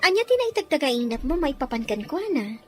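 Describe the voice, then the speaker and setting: A woman's voice: sits high, very animated, fairly quick, a little mellow, faint music behind. A Filipino woman playing an outspoken, bold housemaid in an audio drama, with pointed, emphatic delivery. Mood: reassuring.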